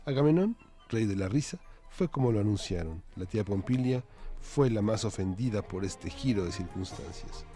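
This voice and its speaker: A man's voice: lowish voice, animated, moderate pace, dark tone, faint music behind. A man reading a story aloud expressively, with theatrical phrasing.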